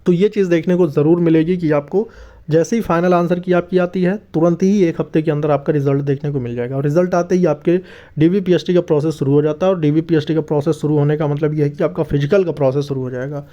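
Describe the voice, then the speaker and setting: Guy doing a youtube update, soft mellow tone, kinda muffled lofi audio, repeats his points. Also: a little high, fairly even intonation, fast. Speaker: YouTube host giving exam news. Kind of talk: informal news update addressed to viewers. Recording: dull, lo-fi muffled sound.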